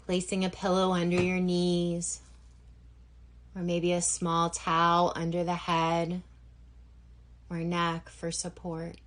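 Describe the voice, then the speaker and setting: A woman with a fairly low voice leads a guided meditation. She speaks very slowly and gently, leaving long pauses between phrases as she gives relaxation instructions. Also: completely flat delivery. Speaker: yoga nidra instructor.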